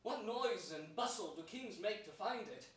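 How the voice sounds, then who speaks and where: A man's voice: sits high, lively intonation, normal speed, fairly bright tone. Man playing a melancholy deposed king, echoey theater. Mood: mournful musing. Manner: declamatory, earnest. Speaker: stage actor. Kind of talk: theatrical staged reading of Shakespearean verse. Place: large indoor theater stage before an audience. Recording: echoey theater, distant microphone, some noise.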